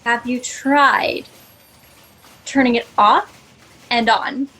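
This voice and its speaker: A woman plays a cocky little robotic bird with a high, snarky voice. It is sarcastic sci-fi comedy acting, over clearly noisy video-call audio. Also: steady tone, drawn-out words. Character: snarky little red bird, a self-destruct program.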